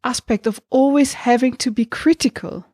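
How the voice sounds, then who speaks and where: A woman co-hosting a reflective intellectual discussion, speaking deliberately with pauses between her phrases. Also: a little high, warm tone, no room sound, studio quality.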